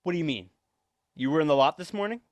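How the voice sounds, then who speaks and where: A man's voice: a little high, expressive, quick pace, dry recording, natural voice. Man playing a shifty, stammering husband, close dry sound. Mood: menacing, agitated. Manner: stammering, evasive, repeats words. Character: shifty, nervous husband hiding a dark secret, menacing. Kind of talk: 1940s radio suspense acting.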